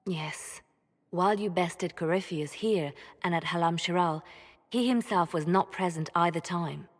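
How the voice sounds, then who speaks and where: A woman's voice: on the low side, measured pace, big-room sound. An actress playing a reserved, watchful woman spymaster in a game cutscene, speaking carefully and formally. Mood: grave, businesslike. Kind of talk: dramatic game cutscene dialogue.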